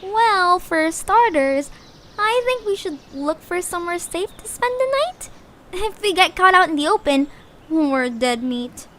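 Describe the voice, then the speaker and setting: Woman voicing tiny worried creature, very high-pitched. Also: animated, unhurried, a bit bright, heavily acted. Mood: cautious, wry. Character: worried, cautious, wry little female forest creature.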